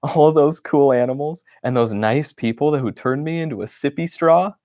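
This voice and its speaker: Un bâton de bois joyeux et insouciant, joué par un homme dans un livre d'images, plein d'enthousiasme pétillant, la voix haute, le son sec et proche. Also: male voice, fairly high pitch, moderate pace, dark tone, no echo, clean studio sound, lightly acted. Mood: excited. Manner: bubbly and enthusiastic. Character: cheerful, happy-go-lucky wooden stick, male.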